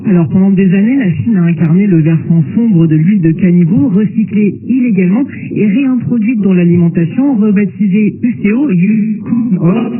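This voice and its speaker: A man's voice: sits high, normal speed. A man delivering a scripted news column as a radio correspondent, heard over shortwave. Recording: tinny narrow-band shortwave, chatter behind.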